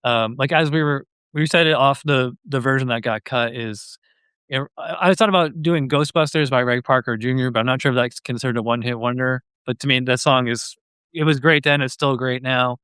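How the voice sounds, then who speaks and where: A man's voice: medium pitch, fairly even intonation, fairly quick, fairly bright tone, clear, resonant tone, no echo. A man hosting a podcast in a casual, chatty style. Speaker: podcast host.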